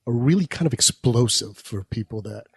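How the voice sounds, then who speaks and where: A man's voice: medium pitch, animated, gravelly, husky, dry recording, clean studio sound. A man from the Dominican Republic asking a question at a live podcast, hesitant and careful with his words. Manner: hesitant, halting, careful phrasing. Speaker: audience member asking a question.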